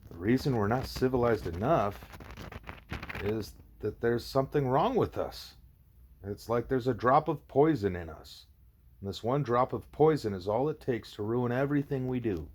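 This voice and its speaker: A man's voice: mid-range voice, animated, normal speed, a little mellow, lightly acted. A man voicing an earnest, inquisitive young girl who thinks aloud in short emphatic statements, in an audiobook. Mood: troubled, earnest. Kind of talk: audiobook character dialogue.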